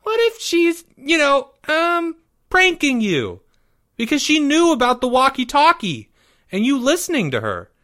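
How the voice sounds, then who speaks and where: A man's voice: extremely high pitch, wildly swooping pitch, bright, forceful tone, pitched above the performer's own voice, exaggerated voice. A man voicing a timid, anxious young boy, loyal and stammering, in a storybook reading. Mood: nervous, pleading. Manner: stammering, halting.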